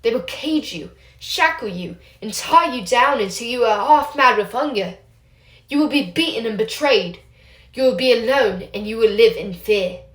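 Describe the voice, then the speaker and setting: A woman reading aloud voices a sinister female werewolf who delivers slow, threatening promises, in a roomy-sounding space. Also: on the high side, animated, lightly acted. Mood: menacing. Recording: roomy echo.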